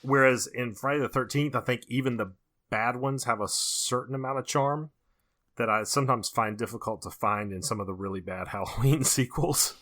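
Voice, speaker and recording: Bright, forceful man talking movies on a podcast. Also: medium pitch, normal speed. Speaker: horror movie podcast co-host. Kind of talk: casual opinionated film discussion.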